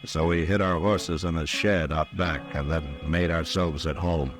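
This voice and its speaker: Very deep-voiced, grave Western marshal. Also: male voice, steady tone, measured pace. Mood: measured narration. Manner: terse, grave. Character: stern, weary US marshal of the Old West. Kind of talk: old-time radio western acting and narration. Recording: old radio drama with music.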